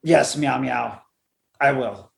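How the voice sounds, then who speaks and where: A man's voice: medium pitch, slow, creaky, roomy sound. A man playing an earnest, loyal lieutenant in a sci-fi radio drama, hesitant and deferential, often stammering. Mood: flustered, apologetic. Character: earnest, anxious, loyal young male lieutenant.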